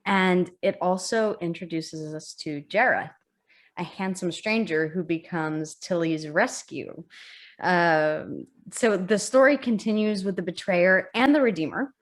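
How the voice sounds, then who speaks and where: A woman's voice: lowish voice, measured pace. A woman hosting an author interview, upbeat and stumbling over repeated words. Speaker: interview host.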